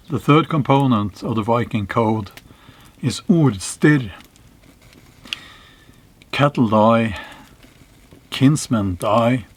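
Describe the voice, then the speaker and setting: A middle-aged Norwegian man speaking English with a Norwegian accent, giving a slow, reflective monologue to camera, with pauses and fillers. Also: medium pitch, a little hiss or hum. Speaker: channel host, historical novelist. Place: small cave in a forest.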